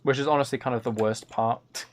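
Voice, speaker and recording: A man with a creaky voice chats casually as a live streamer while gaming, half talking to himself and half to his viewers. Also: mid-range voice, steady tone, fairly quick. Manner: muttering to himself between replies to chat. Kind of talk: casual chat while playing a video game on a livestream. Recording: faint noise with keyboard clicks.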